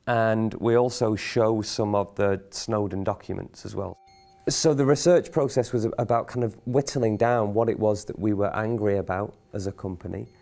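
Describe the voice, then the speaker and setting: Low, creaky-voiced man explaining reflectively. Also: moderate pace, darkish tone. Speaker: theatre company member. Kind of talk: explanatory interview talk.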